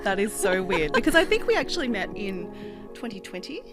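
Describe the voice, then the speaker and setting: A woman's voice: medium pitch, very animated, fairly quick, over music. A woman hosting a podcast interview, asking questions with enthusiasm. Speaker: podcast host. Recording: dry, slightly distant mic.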